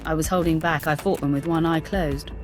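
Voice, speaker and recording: A woman's voice: low voice, fairly even intonation, brisk, reverberant, faint music behind, lightly acted. An actress playing an arrogant, ruthless female Sith warrior in a video game, speaking in a haughty, curt way. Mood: scornful. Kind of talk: dramatic scripted game dialogue.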